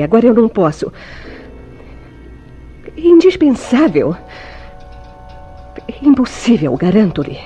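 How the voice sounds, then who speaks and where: Secretive brazilian radionovela wife, very swoopy mellow voice, tender n anxious, theatrical. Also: female voice, a little high. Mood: anxious, pleading. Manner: theatrical, emotive, formal diction. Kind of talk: old-fashioned Brazilian radio soap acting.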